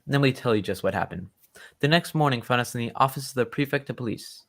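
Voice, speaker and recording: Genteel, old-fashioned gentleman storyteller for a radio play, played by a man, mid-pitched, loyal and excitable. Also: fast talker, natural voice. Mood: earnest storytelling. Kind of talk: old-time radio drama acting.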